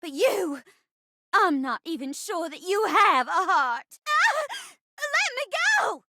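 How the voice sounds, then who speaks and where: A woman's voice: very high voice, very animated, slow pace, pushed and bright, no echo, clean studio sound, heavily acted. A woman voicing a sweet, lovestruck young bride in a video game, girlish and theatrical, with grand stage declamation. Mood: aghast, defiant. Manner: grand stage declamation, breaking into bashful chatty asides. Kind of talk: exaggerated romantic stage-play acting in a video game.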